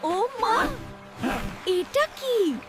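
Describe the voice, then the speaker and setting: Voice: very high-pitched, animated, extremely slow, loud music behind the voice, heavily acted. A performer voicing a small, eager young animal friend in a children's cartoon. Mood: excited.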